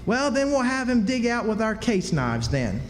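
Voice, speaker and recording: A very high, animated American boy played by a man, stubborn and full of grand schemes. He insists in folksy dialect on a radio play stage, in a hall with long reverb. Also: moderate pace, a little hiss or hum. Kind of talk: live radio drama acting. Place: historic club hall, live performance. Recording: echoey hall stage, faint music.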